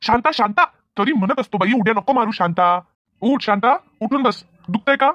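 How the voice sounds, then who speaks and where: An actor voicing a fussy, excitable village man in a comedy cartoon, urgent and repetitive, slightly muffled. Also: mid-range voice, a little fast, dry recording, close to an everyday voice. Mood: concerned, chiding. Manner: urgent, repeats words. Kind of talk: animated comedy cartoon dialogue.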